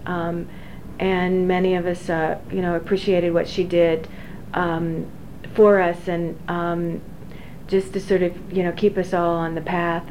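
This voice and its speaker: Low-voiced professor woman, hesitant. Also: a little mellow. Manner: hesitant, many fillers and restarts, reflective. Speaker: engineering professor. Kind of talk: oral history interview. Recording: dull lo-fi recording with faint hiss.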